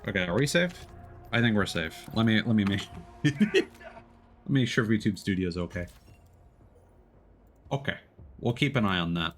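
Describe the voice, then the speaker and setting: A man doing casual live gaming commentary and chatting with viewers, excitable and quick to exclaim when surprised. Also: fairly low pitch, soft background music. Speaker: video game streamer.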